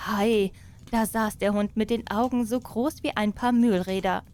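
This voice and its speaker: A woman narrating a fairy tale as an expressive audiobook storyteller. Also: mid-range voice, unhurried.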